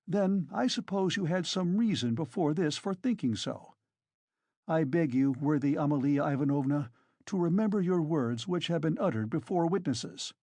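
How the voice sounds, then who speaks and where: A narrator voicing a pompous, self-important gentleman in an audiobook, formal, measured and insistent in his reproach. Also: medium pitch, animated, no room sound, pitched above the performer's own voice. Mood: coldly formal. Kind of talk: dramatised audiobook reading.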